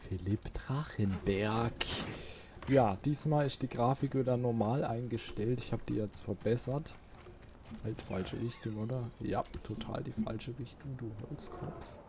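A man's voice: mid-range voice, a little slow, noisy background. A man giving casual let's play commentary, thinking aloud and muttering questions to himself.

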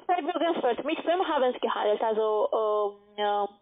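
A woman making a cold sales call over a phone line, speaking halting, non-native German phrasing. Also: on the high side, animated. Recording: tinny narrowband phone line.

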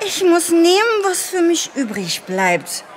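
Tipsy woman, high voice, slow slurring, flirtatious and envious, audio drama. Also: big pitch swings, heavily acted. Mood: envious, sulky. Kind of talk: German audio drama acting.

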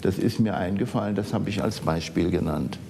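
An elderly man from the Saarland in Germany reminiscing in conversation, haltingly searching for words. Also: vocal fry, warm tone. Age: older, 70s or 80s. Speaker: architect interviewed as an eyewitness. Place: large office archive room, indoors. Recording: echoey room with rumbling background noise.

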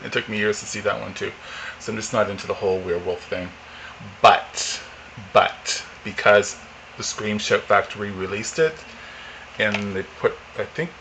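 A man's voice: on the low side, background noise. A man in his forties, chatting casually and rambling with many fillers while unboxing at home. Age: 40s. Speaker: vlogger. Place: home living room, indoors.

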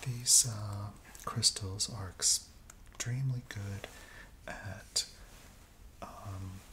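A middle-aged man in a soft, breathy whisper, murmuring slowly and gently in an ASMR roleplay as a chemist. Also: on the low side, fairly even intonation, a little hiss or hum. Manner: slow, gentle, unhurried step-by-step murmuring. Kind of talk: ASMR roleplay, soft-spoken personal attention. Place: small indoor set, seated at a worktable.

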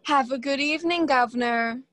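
A woman's voice: high voice, normal speed, fairly bright tone, dry recording. A young woman acting in a radio play as a scheming, haughty society hostess, condescending and taunting. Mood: cold, demanding. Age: young adult.

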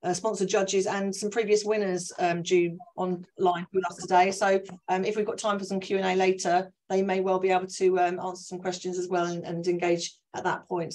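A woman hosting a webinar, introducing the speaker hesitantly with many pauses and restarts. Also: medium pitch, level tone, a little mellow.

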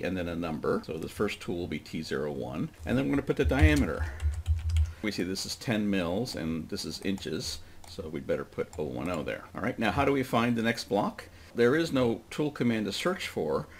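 A man with a deep voice and a noticeable creak narrates a software tutorial. He explains each step plainly and informally, as if thinking aloud while he works. There is some background noise and keyboard typing in the recording. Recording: clearly noisy desk recording with typing.

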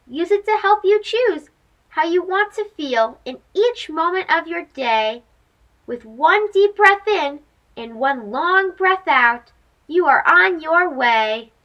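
A young woman reads a picture book aloud for small children. Her voice is strikingly high and swings widely in pitch. She takes her time, with a sing-song delivery and a clear, bright edge. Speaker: host of a children's read-aloud show.

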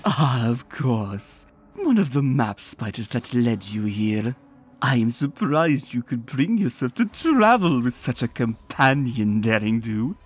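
A woman reading a comic aloud, voicing a gloating male creature villain in a pompous, theatrical, drawn-out way. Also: a bass voice, big pitch swings, husky, pitched below the performer's own voice, exaggerated voice. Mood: mocking.

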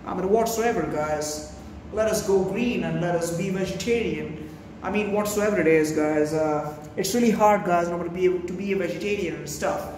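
Young male food vlogger, Indian accent, higher-pitched voice, verbose and grandiose, recorded in a very reverberant room. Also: moderate pace, a little mellow. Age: young adult. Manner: rambling, verbose, grandiloquent, repeatedly addressing viewers. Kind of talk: casual vlog monologue. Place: small bare indoor room. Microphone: phone camera, held or propped at a distance. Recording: very echoey room, distant phone, faint noise.

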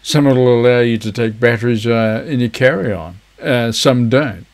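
An older man around his seventies, giving an informal, measured instructional talk to camera as a presenter. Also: medium pitch. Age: older, around 70s. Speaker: amateur radio operator, video presenter. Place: indoors, seated before a virtual beach backdrop.

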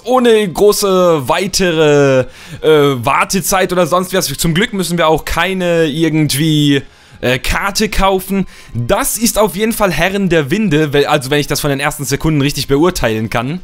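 A young man with a slightly rough, cold-worn voice, chatting playfully and rambling as he narrates his gameplay. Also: on the high side, unhurried, fairly bright tone, soft background music. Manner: playful, rambling, repeats words for fun. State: hoarse from a cold. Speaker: let's play host. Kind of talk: casual gameplay commentary.

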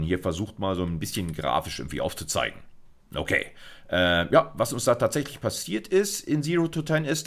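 A middle-aged man giving an informal video lecture, explaining conversationally with colloquial asides. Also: sits low. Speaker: university lecturer. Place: indoors at a computer, recorded lecture.

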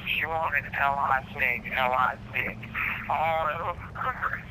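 A man's voice: fairly high pitch, steady tone, slow. A man leaving casual, slangy, crude prank voice messages. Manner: crude, swearing. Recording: very noisy voice-message recording.

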